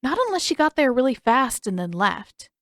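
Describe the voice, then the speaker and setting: A woman's voice: sits high, sing-song, moderate pace, no echo, studio quality, pitched above the performer's own voice. A woman voicing a mild, hesitant, skeptical young boy in an audiobook, with a light, tentative delivery. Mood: unsure. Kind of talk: acted audiobook dialogue.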